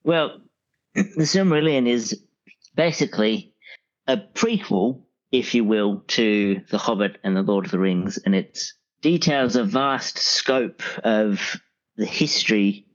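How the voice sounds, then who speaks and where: A man speaking as an interview guest, explaining conversationally in measured, hesitant phrases on dull, band-limited audio. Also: lowish voice. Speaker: podcast guest, audiobook expert.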